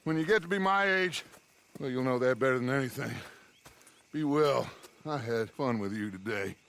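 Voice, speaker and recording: An actor playing an older outlaw mentor in a game cutscene, teasing and fond. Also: male voice, mid-range voice, moderate pace, lightly acted. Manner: warmly teasing. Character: old male outlaw mentor, fond and wry. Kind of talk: video game cutscene acting.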